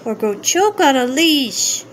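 A woman's voice: sits high, very animated, slow, a little hiss or hum, full character voice. A woman voicing an indignant, bossy storybook dog in an exclaiming, scolding manner. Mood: disgusted, scolding.

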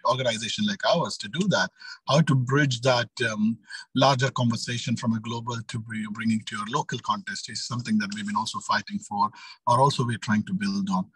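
A man in his thirties from the Middle East, speaking on an online panel in a halting way, repeating words as he searches for phrasing. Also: medium pitch, fairly bright tone, husky, no room sound, low-quality recording. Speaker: youth organization leader, panel speaker. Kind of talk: panel talk, semi-spontaneous explanation. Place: indoor room on a video call.

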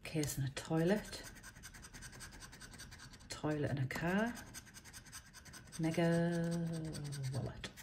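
Woman, croaky voice, chatty, slow, low-pitched, reading out letters. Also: darkish tone, a little hiss or hum. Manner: chatty, reads letters out one by one. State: croaky from a chest infection. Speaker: scratch card vlogger. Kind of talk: casual commentary. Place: indoors at a table.

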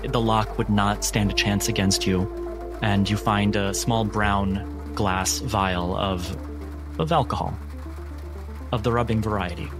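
Slow, low-voiced man narrating a game. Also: steady tone, over music. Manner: measured, deliberate storytelling with dramatic pauses. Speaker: game master. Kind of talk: narrating a tabletop roleplaying game.

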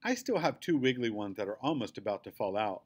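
A man's voice: lowish voice, a little fast, slightly rough. A man voicing a feisty, chatty little girl in a storybook, speaking emphatically and indignantly. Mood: excited, curious. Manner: emphatic, excitable.

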